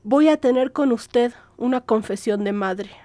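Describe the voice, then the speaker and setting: A woman voicing an aging, sickly mother who flatters and pleads in an audiobook drama, with ingratiating, dramatic exclamations. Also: on the high side, measured pace, dry recording, lightly acted. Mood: coaxing. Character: aging, ailing, scheming mother, fawning and wheedling.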